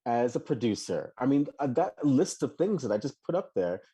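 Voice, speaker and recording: Husky-voiced man, animated live chat. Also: moderate pace. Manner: animated, many restarts, bursts of high laughing exclamations. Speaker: podcast co-host.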